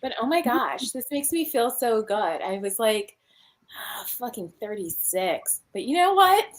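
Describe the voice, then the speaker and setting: A woman's voice: a little high, sing-song, a little slow. A woman in her thirties chatting casually in a joking, self-deprecating way. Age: 30s. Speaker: stream host. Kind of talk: casual group chat.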